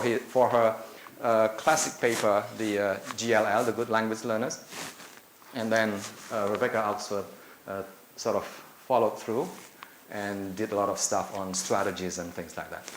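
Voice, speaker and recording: A middle-aged man from Indonesia, a native Indonesian speaker, giving a conversational lecture to teachers, with many filler pauses, holding a handheld mic in a lecture room. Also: on the low side. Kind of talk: conference talk. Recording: echoey room with faint noise.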